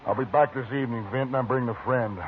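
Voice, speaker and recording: A man's voice: medium pitch, fairly even intonation, quick pace, background noise, lightly acted. A man playing a cocky, suspicious cowboy gambler with a taunting folksy drawl in a 1950s radio Western. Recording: tinny old radio recording with music behind.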